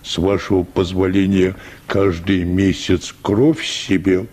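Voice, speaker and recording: A meek, hard-drinking choir bass in a comic radio story. The voice is very deep and husky, dragging slowly. He rambles deferentially, padding everything with polite, hesitant phrases. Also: level tone, darkish tone. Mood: deferential, wistful. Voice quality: deep, hoarse, gravelly bass. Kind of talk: radio play acting of a comic short story.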